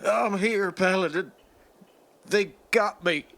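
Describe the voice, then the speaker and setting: A man's voice: sits high, takes it slowly, creaky, a bit bright, full character voice. A man playing a cowardly, pleading captive knight in an audio drama, whimpering and drawing out his words. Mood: scared pleading. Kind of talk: post-apocalyptic audio drama acting.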